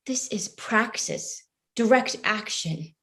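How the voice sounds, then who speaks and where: A woman's voice: medium pitch, lively intonation, slow pace, a bit bright. An actress playing an earnest, eager, apologetic woman radical in a staged play reading. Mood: earnest conviction. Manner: earnest, solicitous.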